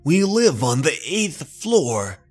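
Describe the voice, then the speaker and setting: A stern middle-aged father acted out by a man in a horror manga dub. He speaks firmly and emphatically, proud and quick to dismiss. Faint music runs behind him. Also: on the high side, sing-song, slightly rough, voice pushed up, full character voice. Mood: irritated.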